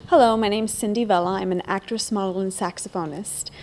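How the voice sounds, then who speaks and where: A woman from Texas answers interview questions, earnest and easygoing. Her voice sits at an ordinary middle pitch, and she speaks plainly with a few fillers. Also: steady tone, a little fast, a little mellow. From Texas, USA. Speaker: actress, model and saxophonist.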